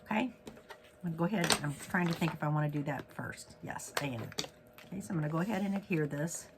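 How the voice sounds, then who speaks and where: Guy with a head cold, raspy and stuffed up, chatty crafting demo, lots of ums. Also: on the high side, fast talker, background noise. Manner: chatty and upbeat, with many fillers and self-corrections. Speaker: stamping demonstrator and host. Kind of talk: casual card-making tutorial.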